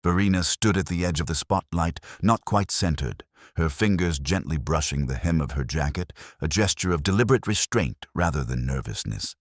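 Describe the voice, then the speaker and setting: A very low-pitched man narrates a scripted story. His delivery moves up and down in pitch, and the recording is dry and close. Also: animated, moderate pace, dry recording. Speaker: audiobook narrator.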